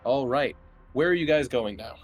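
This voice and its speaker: A man's voice: mid-range voice, lively intonation, normal speed, soft background music. A man running a tabletop roleplaying game as game master, narrating casually.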